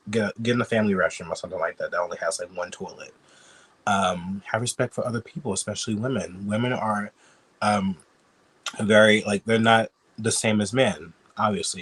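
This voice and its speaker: A man with a somewhat low voice talks casually and bluntly, peppering his speech with fillers and swearing. He sounds sick and sniffly throughout. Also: a bit bright, breathy edge, low-quality recording. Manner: chatty, blunt, swears freely. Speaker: YouTube commentator. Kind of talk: casual reaction commentary.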